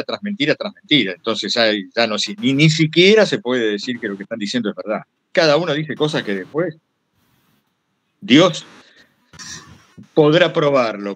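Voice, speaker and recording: An older Argentine man speaking at a medium pitch as a guest at a talk. He tells anecdotes reflectively, rambling and halting as he goes. He often repeats a phrase to underline his point. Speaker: guest speaker, retired radio broadcaster.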